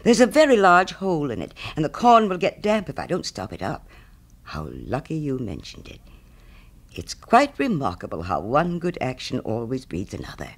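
A man's voice: on the high side, huge pitch swings, normal speed. A man voicing a smug, selfish miller who preaches friendship in a pompous, moralizing way, as a storybook character. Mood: smugly grasping. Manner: pompous, self-righteous, moralizing.

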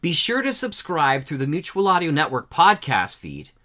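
A male podcast announcer reads a scripted outro. His voice is bright and forceful, pitched a bit high, with very lively intonation. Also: moderate pace.

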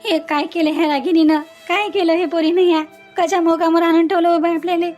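A distressed bride's mother, acted by a woman with an extremely high voice over faint music. She is apologetic and disbelieving, pleading and repeating her laments in a Varhadi Marathi accent, in cartoon family-drama style. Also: fairly even intonation, a little fast, a little mellow. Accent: Varhadi Marathi, Vidarbha.

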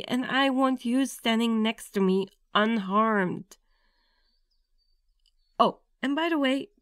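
A woman's voice: sits high, a bit bright, no room sound, clean studio sound, heavily acted. A woman playing a nervous, affectionate young bride-to-be in an ASMR girlfriend roleplay, with a tender, intimate delivery. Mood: alarmed, scolding. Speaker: roleplay audio performer.

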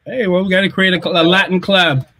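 A middle-aged man from Canada, a music producer, telling relaxed stories over a video call from his home studio and often restarting his sentences. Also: high voice, very noisy. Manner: relaxed storyteller, halting restarts. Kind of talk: casual video-call chat.

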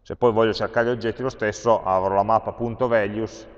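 An Italian man teaching a programming class, thinking aloud as he types code, with frequent pauses and fillers. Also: lowish voice, fairly even intonation. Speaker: university lecturer. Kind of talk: live-coding programming lesson. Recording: dull lo-fi lecture capture with long hall reverb.

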